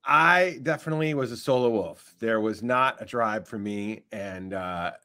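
A middle-aged man from North Carolina, a composer guest, telling stories in a relaxed, easygoing podcast chat. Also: fairly low pitch, normal speed. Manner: relaxed, storytelling, laughs easily. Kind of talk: casual podcast interview.

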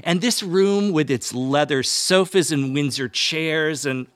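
A man's voice: on the high side, slow pace, a bit bright, no room sound. A man hosting a podcast, speaking in an effusive, formal way with theatrical phrasing. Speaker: podcast host. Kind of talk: warm-up narration and interview. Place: club library for the talk.

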